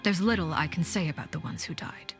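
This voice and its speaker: A low-voiced, menacing woman leader from a video game, played by an actress. She speaks formally and with measured command, often dropping into a breathy hush. Her temper is cold and composed, and soft music plays behind her. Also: in a whisper, close to an everyday voice. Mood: grave, detached. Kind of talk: scripted video game voice acting.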